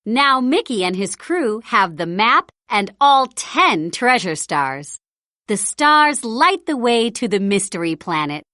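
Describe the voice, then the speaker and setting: A woman narrating a children's storybook read-aloud in an expressive, deliberate storytelling style. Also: on the high side, dry recording, clean studio sound. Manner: animated, deliberate storytelling delivery.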